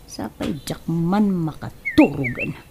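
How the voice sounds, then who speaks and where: A woman playing a fussy, bickering wife in an Ilocano comedy radio drama, with drawn-out complaints and theatrical sighs. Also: medium pitch, wildly swooping pitch, creaky, background noise, exaggerated voice. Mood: restless, grumbling. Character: fussy, sleepless, coffee-loving wife, bickering and scheming.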